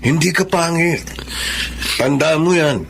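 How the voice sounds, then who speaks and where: An actor playing a kindly married benefactor in a Filipino drama, speaking in an earnest, courteous, reassuring way. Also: male voice, a little high, unhurried, vocal fry, fairly bright tone, slight background noise. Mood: earnest, encouraging.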